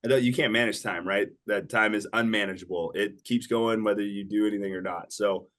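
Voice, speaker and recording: Guest, male, forties, low-ish voice, calm and confident. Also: a little fast. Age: 40s. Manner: steady, self-assured, tag questions. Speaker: podcast guest, entrepreneur. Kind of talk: casual interview talk.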